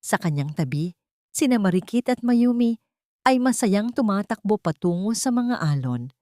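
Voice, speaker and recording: A female audiobook narrator with a fairly low voice. She reads dramatic fiction in solemn, deliberate phrases. The recording is dry, with very little room sound. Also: darkish tone, no echo. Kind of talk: dramatic scripted narration of a love story.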